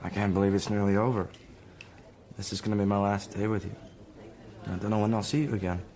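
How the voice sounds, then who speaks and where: A man's voice: lowish voice, fairly even intonation, fast, warm tone, a little hiss or hum, natural voice. An actor playing a naive, lovesick sixteen-year-old boy in a radio drama, speaking hesitantly and earnestly. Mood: wistful.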